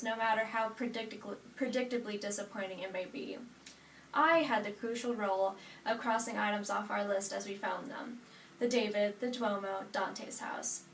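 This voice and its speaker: A young American woman, about eighteen, giving a prepared personal narrative speech from notes. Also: mid-range voice. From United States, Nebraska. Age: 18, young adult. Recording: echoey room, distant mic, faint noise.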